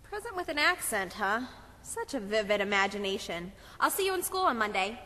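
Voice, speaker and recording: A woman's voice: mid-range voice, big pitch swings, fairly quick, bright, forceful tone, big-room sound. A woman playing an effusive, prim children's teacher in a Christmas puppet show. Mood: indulgent. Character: gushing, chatty female church children's teacher.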